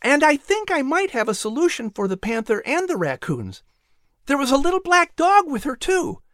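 A woman's voice: sits high, very animated, moderate pace, fairly bright tone, no room sound, studio quality, voice pushed up. A woman voicing an eager, persuasive, sweet-natured nine-year-old boy from colonial days in an audiobook. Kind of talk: audiobook character voice.

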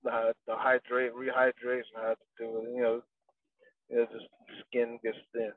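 A man's voice: mid-range voice, monotone, normal speed, no room sound. A man who trains boxers, talking casually in an interview and rambling with lots of fillers and restarts. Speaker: boxing trainer. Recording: dry, distant, phone-like audio.

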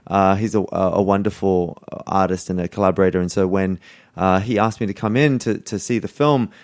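A man in casual conversation, speaking haltingly with many fillers and restarts. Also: sits low, steady tone, darkish tone.

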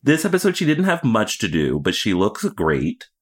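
Low-voiced, animated, teasing man. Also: moderate pace, breathy edge. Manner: blunt, teasing, sardonic. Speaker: podcast co-host. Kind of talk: casual banter.

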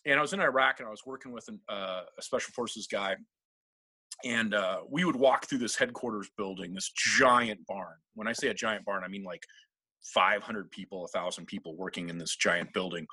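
A man's voice: medium pitch, pushed and bright, breathy edge, no room sound. A middle-aged man from Seattle, a guest telling rambling, self-deprecating stories in a casual interview and laughing often. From Seattle, USA.

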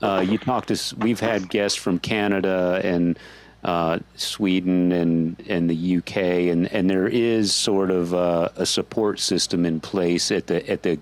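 A man hosting a podcast interview, speaking haltingly with frequent fillers. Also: low-pitched, steady tone. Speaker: podcast host. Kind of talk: casual interview chat.

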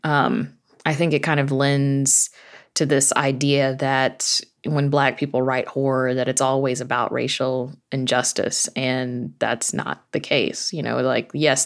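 A woman speaking as a guest in a conversational interview, informal and reflective, telling things like stories. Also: extremely low pitch. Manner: informal, reflective storytelling with quoted asides. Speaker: guest, horror fiction writer and podcast creator.

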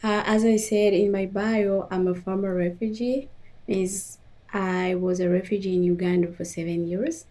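A woman from the Democratic Republic of the Congo, a former refugee, answering interview questions in plain, steady phrasing. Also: mid-range voice, moderate pace.

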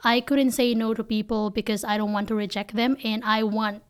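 A woman's voice: fairly high pitch, level tone, normal speed, clear, resonant tone. A woman from the Philippines with a Filipino accent, speaking candidly and emphatically in conversational interview answers as a company founder.

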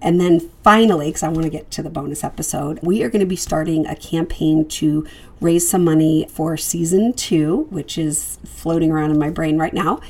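Female podcast host, low mellow voice, husky, casual, chatty. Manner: informal, chatty. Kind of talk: casual spoken podcast introduction.

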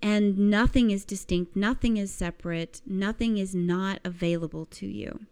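A woman speaking as a life coach in a motivational talk, deliberate and emphatic, with a slightly croaky voice. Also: mid-range voice, darkish tone. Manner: deliberate, emphatic, with rhetorical questions and repetition. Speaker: life coach giving a lesson.

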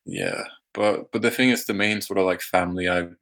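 A young man from Denmark with a mixed Danish, American and British accent, talking casually and haltingly as a guest. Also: sits low, huge pitch swings, vocal fry, fairly bright tone. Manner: halting, searching for words. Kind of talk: casual chat.

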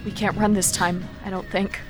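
A woman's voice: mid-range voice, level tone, moderate pace, music in the background, close to an everyday voice. A woman playing an earnest, supportive but shaken young woman in an audio drama. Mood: resigned.

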